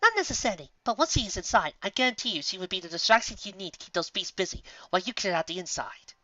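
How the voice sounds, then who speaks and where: A high, refined female pony from a fantasy story, voiced by a male reader. The speech is genteel and diplomatic, softer in force yet bright, with careful phrasing. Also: big pitch swings, quick pace, dry recording, dull audio, exaggerated voice. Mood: poised, persuasive. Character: refined lady pony commander, polite and diplomatic.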